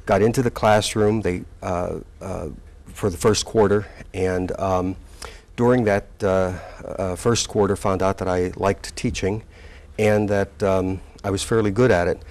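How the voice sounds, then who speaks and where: Low-voiced man in his sixties, halting. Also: fairly even intonation, darkish tone. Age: 60s. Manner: halting, with many fillers and restarts. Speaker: community college president, guest. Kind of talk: relaxed interview recollection.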